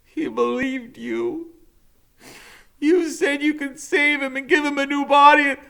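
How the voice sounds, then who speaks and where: A man doing an anguished shouting teen boy. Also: steady tone, takes it slowly, gravelly, full character voice. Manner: shouting, strained and high. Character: upset teenage boy, loud and grieving.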